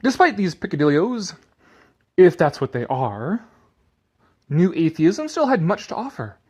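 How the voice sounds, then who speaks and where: A man with a slightly high voice performs a dramatic reading peppered with sarcastic commentary. His pitch moves in lively swings as he goes from theatrical declaiming to exasperated muttering. He swears freely and casually. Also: unhurried, breathy edge. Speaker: commentator reading an article aloud.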